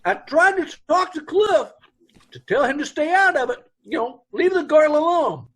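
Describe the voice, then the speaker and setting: A man's voice: extremely high pitch, sing-song, moderate pace, fairly bright tone, exaggerated voice. A man playing a jittery, rambling dance hall owner. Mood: anxious. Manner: rambling, ungrammatical, anxious. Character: nervous middle-aged male dance hall owner. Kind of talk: old-time radio drama recreation.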